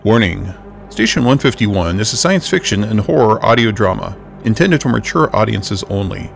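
A low, gravelly man reads the podcast's opening announcements in a measured, formal way. Soft music plays under his voice. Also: lively intonation. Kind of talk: scripted announcement.

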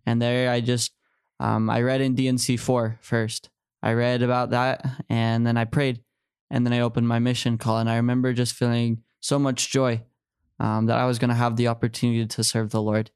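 A man's voice: mid-range voice, flat delivery, normal speed, a little mellow, clean tone, no echo, clean studio sound. A man in his early twenties telling personal stories as a guest in a podcast interview. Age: early 20s. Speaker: podcast guest, returned missionary.

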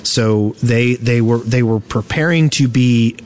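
A man's voice: mid-range voice, measured pace, a little mellow, a little hiss or hum. A man hosting a casual live podcast at a convention, talking with many restarts and fillers. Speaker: podcast host.

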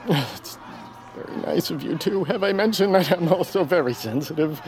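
A man playing a sensitive, awkward actor in an audio drama, flustered and halting. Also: a little high, animated, lightly acted. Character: awkward, sensitive male actor facing fans.

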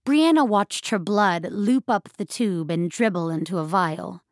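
A woman narrating scripted fiction with measured, expressive storytelling. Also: medium pitch, no room sound, studio quality.

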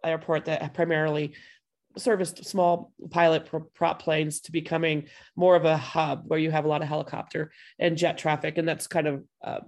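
A woman hosting a podcast over a video call from home, her voice hoarse from a cold, chatting casually with plenty of fillers. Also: low-pitched, little pitch movement, moderate pace, a little mellow. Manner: chatty, friendly, loose with fillers. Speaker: podcast host.

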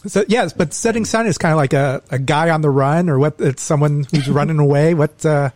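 A man's voice: on the high side, brisk, a little mellow, no room sound. A man interviewing a band, asking friendly, brief questions.

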